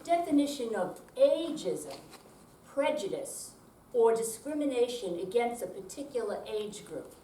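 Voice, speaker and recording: Older female reader, lively swooping pitch, stage reading, distant in an echoey room. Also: measured pace, a little hiss or hum. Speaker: amateur readers theater performer. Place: room at a senior center.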